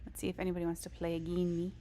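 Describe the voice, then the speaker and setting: A woman's voice: fairly low pitch, completely flat delivery, racing, dark tone, a little hiss or hum. A woman live streamer chatting casually over a game, in a rambling, informal and self-deprecating way. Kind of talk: casual gaming stream chat.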